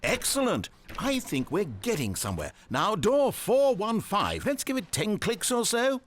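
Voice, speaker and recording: Pompous, fussy video game narrator, high for a male voice and highly animated, theatrically goading the player. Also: unhurried, a little hiss or hum, heavily acted. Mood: encouraged, coaxing.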